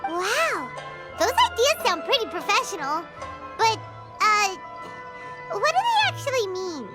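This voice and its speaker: A woman voicing a tiny, childlike fairy companion in a video game, chirpy, curious and excitable. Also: extremely high pitch, measured pace, full character voice. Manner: excitable, chirpy, speaks of self in third person. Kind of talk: animated game voice acting. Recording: music playing behind the voice.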